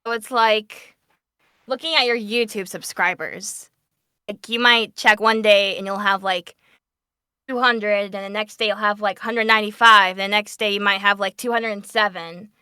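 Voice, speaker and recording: A woman's voice: mid-range voice, steady tone, moderate pace, pushed and bright, clear, resonant tone, dry recording, clean studio sound. A woman who works as a voice actor, talking informally and upbeat as she explains things on a panel. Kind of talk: casual panel Q&A chat.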